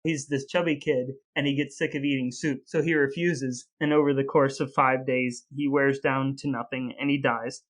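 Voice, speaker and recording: A man's voice: mid-range voice, flat delivery, moderate pace, hardly any pauses. A man hosting a podcast, telling stories casually with a wry, playfully sarcastic manner. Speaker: podcast host. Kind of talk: casual storytelling talk.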